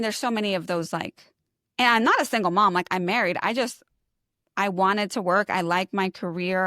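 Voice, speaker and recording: Female podcast guest from New York, middle-aged, non-native English, lowish voice, frank casual storyteller, very dry recording. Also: a bit bright, dry recording. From New York, United States. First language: a language other than English. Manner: candid, informal, self-deprecating. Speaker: interview guest, lactation consultant.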